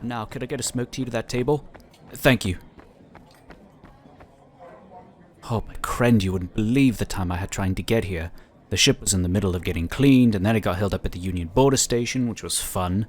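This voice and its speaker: A man's voice: on the low side, brisk, close to an everyday voice. A man playing an affable, talkative and polite psionic inquisitor in a sci-fi audio drama. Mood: apologetic, chatty. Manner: talkative, easygoing, explanatory.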